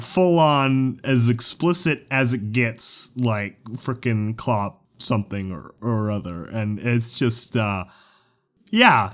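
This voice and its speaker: A man's voice: on the high side, a bit bright. A man who works as a voice actor, casually telling a behind-the-scenes story and often breaking into giggles. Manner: giggly, breaks into laughter while talking.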